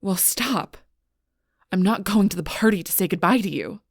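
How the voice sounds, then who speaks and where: Husky, gravelly female werewolf, acted by a woman. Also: fairly low pitch, moderate pace, no echo, studio quality, heavily acted. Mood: angry, exasperated. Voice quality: breathy, husky, rough-edged. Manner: intense, confrontational, swearing, often dropping to near-whisper. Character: fierce, protective female alpha werewolf, bitter and wounded. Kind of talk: audio roleplay acting addressed to the listener.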